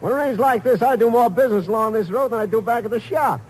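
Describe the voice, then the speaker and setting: An actor playing a folksy, confident Texas garage mechanic in a plainspoken, instructive way, in 1950s radio drama style. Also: male voice, very high voice, quick pace. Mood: brisk, assured. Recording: noisy old 1950s radio recording.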